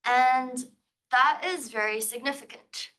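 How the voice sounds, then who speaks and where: A young woman from Thailand gives a live talk to a room, slightly high and very bright in tone. She sounds nervous and giggly, with a playful touch. She is presenting her science-writing thesis. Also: takes it slowly, poor audio. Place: lecture room.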